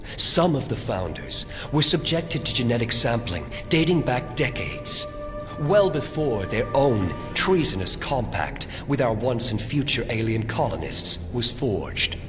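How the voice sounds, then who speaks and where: A smug, animated villainous schemer. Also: male voice, mid-range voice, music in the background. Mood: smug, proud. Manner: condescending, measured, formal wording. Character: smug, calm man running a secret cloning facility. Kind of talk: audio drama acting.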